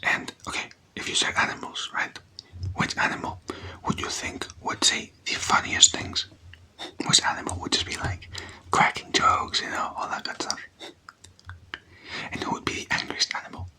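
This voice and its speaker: A person doing casual, playful ASMR in a breathy whisper, chatting and putting questions to the listener. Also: moderate pace, sharp tone, rough recording. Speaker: ASMR content creator.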